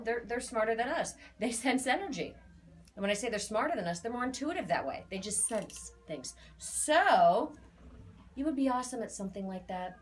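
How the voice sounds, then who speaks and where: A woman in her forties giving an informal spoken reading to clients, chatty and emphatic, repeating key phrases. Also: medium pitch. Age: 40s. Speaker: aura reader.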